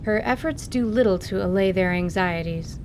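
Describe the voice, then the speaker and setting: A woman's voice: medium pitch, moderate pace, noisy background. A woman narrator reading scripted, dramatic fantasy narration for radio theatre.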